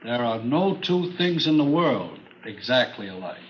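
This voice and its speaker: An actor playing a matter-of-fact forensic expert witness in an old radio drama, testifying in a measured, unemotional way. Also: male voice, mid-range voice, sing-song. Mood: factual, measured. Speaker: forensic chemist on the witness stand. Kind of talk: 1950s radio drama acting. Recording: muffled, noisy old radio recording.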